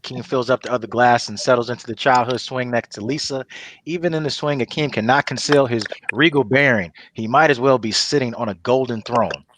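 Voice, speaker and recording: A man's voice: medium pitch, a little fast, fairly bright tone, slight background noise. A man narrating stage directions for a comedy table read in a casual storytelling manner.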